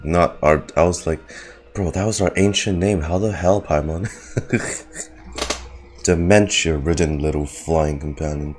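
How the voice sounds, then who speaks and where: A man's voice: rumbling low, steady tone, unhurried, pauses often. A man giving casual, sarcastic and sweary reaction commentary as he streams a video game. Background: game music.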